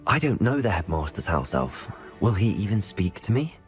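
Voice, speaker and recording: Earnest low-voiced young student. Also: male voice, very animated, moderate pace, soft background music. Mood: earnest, inquisitive. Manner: polite, earnest. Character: earnest, resolute young male student wizard. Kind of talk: video game cutscene acting.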